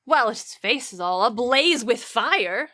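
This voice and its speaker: Woman reading as a jittery, bright-voiced male servant. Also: a little high, animated, takes it slowly, full character voice. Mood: alarmed. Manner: theatrical, archaic phrasing. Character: excitable, jittery male servant in ancient Greek comedy. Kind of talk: amateur play reading.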